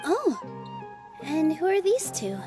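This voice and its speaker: A woman playing a sweet, enthusiastic young female dancer in a video game, with a sincere, expressive delivery. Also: very high-pitched, takes it slowly, over music, full character voice. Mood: surprised. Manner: bright-spirited, sincere, expressive.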